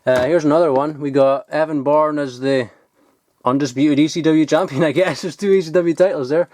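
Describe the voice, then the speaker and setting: Excitable young man rambling over toys. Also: a little high, brisk, dry recording, studio quality. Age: 20s. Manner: excitable, rambling, swears casually. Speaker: toy collector vlogger. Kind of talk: casual unboxing chat.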